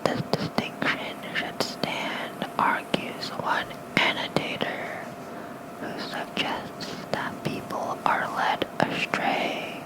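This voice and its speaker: An ASMR creator softly reading folklore aloud in a hushed, unhurried bedtime whisper. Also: poor audio.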